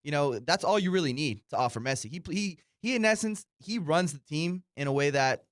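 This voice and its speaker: A man's voice: on the high side, expressive, a little fast, no echo, studio quality. A man giving casual, conversational soccer analysis as a co-host, explaining his points.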